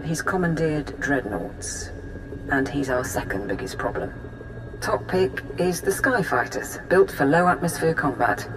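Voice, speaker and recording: A female military intelligence officer in a video game, with a very low voice. She is pragmatic, dry-witted and businesslike as she briefs her commander. Music runs clearly behind her. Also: expressive, a little slow, low-quality recording. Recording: game audio under loud music. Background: orchestral game music.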